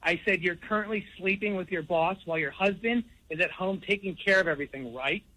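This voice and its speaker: Male call-in guest, everyday speech, sharp bright tone, pitched a little high. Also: a little fast, slight background noise, poor audio. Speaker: caller to a radio show. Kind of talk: recounting and confronting in a phone call.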